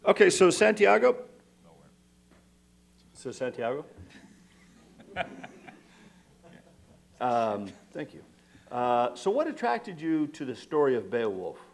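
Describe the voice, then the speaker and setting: A man's voice: medium pitch, sing-song, takes it slowly, vocal fry, long pauses. A man moderating a festival panel, asking questions with playful asides.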